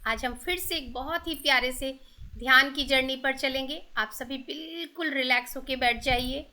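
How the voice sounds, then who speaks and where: A woman with an Indian accent hosting a guided meditation, giving gentle, soothing instructions in drawn-out phrases. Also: sits high. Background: meditation music with singing bowl tones.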